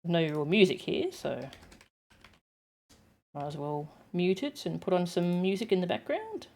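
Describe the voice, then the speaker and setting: A woman's voice: fairly low pitch, lively intonation, unhurried, creaky, darkish tone, slight background noise. A woman game streamer chatting casually to her viewers, informal and given to muttered asides. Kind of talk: casual live stream chat, reading game text aloud.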